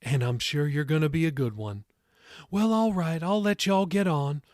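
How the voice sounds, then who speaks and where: A man's voice: a little high, normal speed, darkish tone, dry recording, studio quality, pitched above the performer's own voice, close to an everyday voice. A man voicing a kindly old farm woman with a folksy, teasing manner in an audiobook story. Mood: folksy, encouraging.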